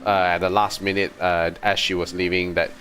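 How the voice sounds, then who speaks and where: A man chatting casually as a video game streamer, telling things in an animated, exasperated way. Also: sits low, fairly quick. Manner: animated storytelling with exasperated asides. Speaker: livestreamer playing a video game. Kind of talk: casual rambling livestream chat. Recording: music behind the voice. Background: game music.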